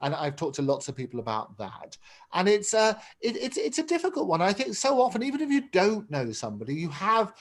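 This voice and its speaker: A man with a fairly high voice that rises and falls animatedly. He hosts a conversation in an earnest, reflective way, often restarting his phrases, over a video call. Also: wildly swooping pitch, a little fast. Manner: earnest, reflective, repeats words. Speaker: interview host. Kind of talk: conversational interview.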